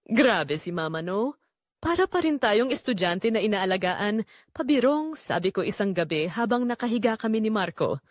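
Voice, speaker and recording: A woman's voice: medium pitch, big pitch swings, moderate pace, no echo, studio quality, natural voice. A woman playing a betrayed married daughter, narrating her painful family secret in an emotive, confessional first-person style for an audio drama. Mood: reflective, fond.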